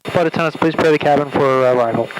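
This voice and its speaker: A man, an airline pilot, making a routine, halting cabin announcement with frequent uhs over the aircraft PA. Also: on the high side. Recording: aircraft PA with faint noise.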